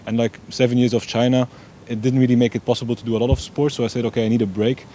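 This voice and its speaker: A man's voice: mid-range voice, level tone, rapid-fire, mellow, a little hiss or hum. A young man from Belgium, an eager race director chatting as an interview guest. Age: young adult. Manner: eager, repeats "yeah" often, loose non-native grammar. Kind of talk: casual interview chat.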